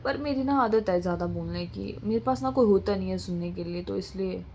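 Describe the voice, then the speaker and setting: A woman's voice: mid-range voice, brisk, a little mellow, a little hiss or hum. Chatty young woman vlogger. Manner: chatty, mixes English into Hindi, rambling. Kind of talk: casual chat to camera.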